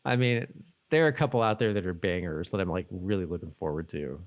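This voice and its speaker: A man's voice: sits low, fast. Casual, rambling male podcast co-host. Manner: informal, rambling, slangy. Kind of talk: casual TV episode recap chat.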